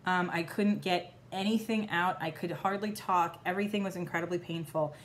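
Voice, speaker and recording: A woman in her thirties chatting casually to camera in her home kitchen, her voice still slightly hoarse as it recovers, rambling with lots of fillers. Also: fairly low pitch, fairly even intonation, a little fast, a little hiss or hum. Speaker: vlogger. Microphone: phone or camera at arm's length.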